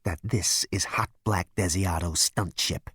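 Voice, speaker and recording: A man voicing a cocky male space adventurer who speaks in a chilly, drawn-out way. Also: mid-range voice, wildly swooping pitch, slightly rough, dry recording, clean studio sound, exaggerated voice. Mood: cool.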